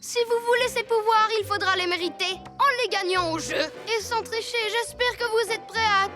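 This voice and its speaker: Very high-pitched scheming boy villain. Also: moderate pace. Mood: commanding. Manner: gloating, theatrical. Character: scheming, boastful boy villain and mad inventor. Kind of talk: animated cartoon dub acting. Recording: constant loud music underneath. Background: loud cartoon score.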